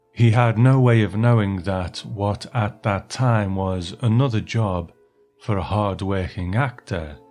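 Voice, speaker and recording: A man hosting a podcast with a scripted introduction, speaking in an earnest, measured way. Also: lowish voice, a little mellow. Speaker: podcast host.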